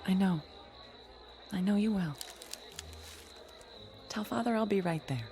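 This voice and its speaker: A woman's voice: mid-range voice, unhurried, dark tone, faint music behind, lightly acted. An actress playing an impulsive, ardent young bride-to-be in a radio drama, earnest in her delivery. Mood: tender. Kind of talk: dramatic radio play acting.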